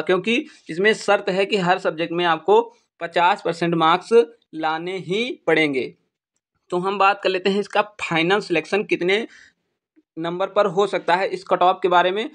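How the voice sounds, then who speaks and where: A man's voice: high voice, a bit bright. A man teaching in an informal explainer style, repeating points for emphasis. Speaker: exam-prep YouTube teacher. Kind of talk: informal explainer addressing students.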